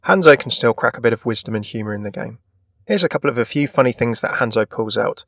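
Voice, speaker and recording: A man's voice: medium pitch, animated, fast talker, a bit bright, dry recording, clean studio sound. A man presenting a gaming video in a scripted narration style. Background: background music.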